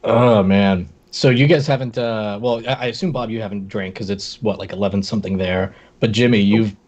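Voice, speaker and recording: A husky-voiced man whose first language is not English joins a live stream chat, easygoing and laughing along. His voice comes through a muffled video call line. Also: fairly low pitch, steady tone, a little fast, a little mellow.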